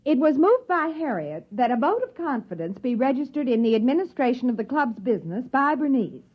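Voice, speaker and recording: An actress playing a prim, pedantic sewing-club secretary in a radio comedy, officious and nitpicking. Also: female voice, sits high, big pitch swings, brisk. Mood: officious recital. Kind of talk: 1950s radio comedy acting. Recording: muffled old radio recording.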